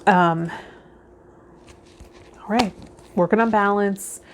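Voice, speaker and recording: A woman's voice: medium pitch, slow pace. Woman guiding listeners through tarot. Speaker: tarot vlog host. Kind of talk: reading tarot card meanings aloud, then casual guided talk to viewers.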